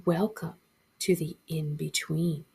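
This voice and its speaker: A woman voicing eerie shadow children in a soft, hoarse whisper for a horror storybook. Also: deep voice, fairly even intonation, takes it slowly, heavily acted. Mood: eerie, hushed.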